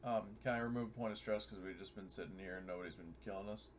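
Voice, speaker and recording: Quick-talking low-voiced man. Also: a little hiss or hum. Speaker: player in a tabletop game. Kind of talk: casual chat.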